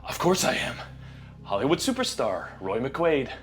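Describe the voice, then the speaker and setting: A man playing a deluded, pleading Hollywood star who thinks he is a tiger, halting and stammering, in an audio drama. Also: medium pitch, wildly swooping pitch. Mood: insistent, struggling.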